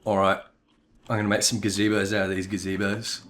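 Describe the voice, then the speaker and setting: A man's voice: lowish voice, little pitch movement, a little fast, a bit bright. An amused man giving casual, chatty commentary on a video game. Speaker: gameplay commentator and dialogue reader. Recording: music behind the voice.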